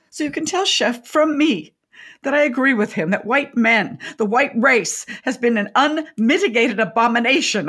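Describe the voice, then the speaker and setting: An actress playing an opinionated, garrulous older widow in a comedic radio play, with a wordy, theatrical, dryly sardonic delivery. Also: female voice, high-pitched, fairly bright tone, breathy edge, heavily acted. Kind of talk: comedic radio drama acting.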